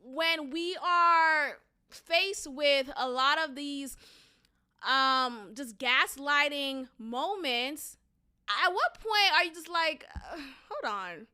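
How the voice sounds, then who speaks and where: A woman's voice: high-pitched, expressive, slow pace, bright, forceful tone. A young woman with a Boston accent hosting a solo opinion podcast, talking in an opinionated, sarcastic and emphatic way. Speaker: podcast host.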